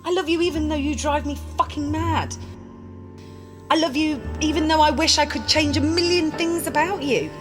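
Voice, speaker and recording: Woman acting a high-voiced, volatile, needy girlfriend. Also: moderate pace, natural voice. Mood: fervent, cutting. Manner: profane, blunt, emotionally intense. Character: volatile, needy, cutting girlfriend arguing with her boyfriend. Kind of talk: audio drama acting, intimate dialogue. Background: music.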